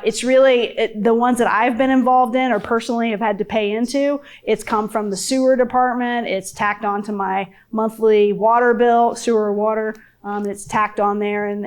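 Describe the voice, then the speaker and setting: A woman on a panel giving a casual, chatty answer with restarts and fillers. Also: mid-range voice. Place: public forum room.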